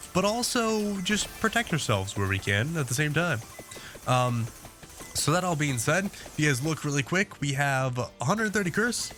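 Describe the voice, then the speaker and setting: Congested rambling gaming host. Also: male voice, on the high side, a bit bright. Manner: rambling, many fillers and restarts. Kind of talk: casual gameplay commentary.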